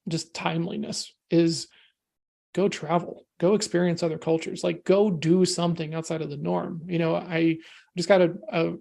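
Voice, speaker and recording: American man guest, gravelly, monotone delivery, chatty and energetic. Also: on the high side, moderate pace. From United States. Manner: energetic, rambling, peppers talk with "right?" and "you know". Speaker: podcast guest. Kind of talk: casual discussion.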